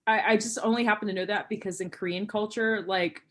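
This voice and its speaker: A woman's voice: medium pitch, steady tone, a little fast. A woman chatting as a guest on a podcast, laughing often and acting out what others said. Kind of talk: casual podcast chat.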